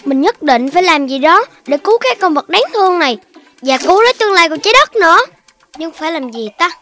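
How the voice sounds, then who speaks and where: A performer voicing an excitable young puppy boy in a children's cartoon, talking in a childlike, exclamatory way. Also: very high voice, fast talker, slight background noise, heavily acted. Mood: earnest. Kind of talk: animated children's cartoon dubbing.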